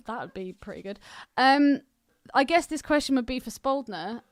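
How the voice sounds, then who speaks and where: A woman's voice: a little high, big pitch swings, normal speed, no echo. A British woman co-hosting a podcast, chatting casually and informally with teasing, sweary banter. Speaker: podcast co-host. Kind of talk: casual film podcast chat.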